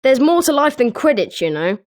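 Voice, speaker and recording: A woman's voice: high-pitched, big pitch swings, brisk, a little mellow. A woman voicing a chatty, opinionated young student at a wizard school in scripted video game lines.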